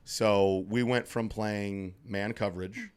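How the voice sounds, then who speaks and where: A man co-hosting a podcast in casual chat, his voice scratchy and hoarse from allergies, upbeat and joking with lots of fillers. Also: on the low side, steady tone, slow pace. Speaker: podcast co-host. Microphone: studio microphone.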